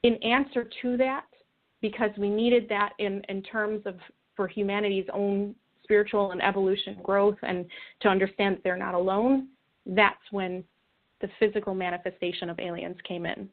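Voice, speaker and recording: A woman guest explaining her views in an interview, earnest and reflective, with halting phrasing. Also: mid-range voice, steady tone. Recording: thin phone-like call audio.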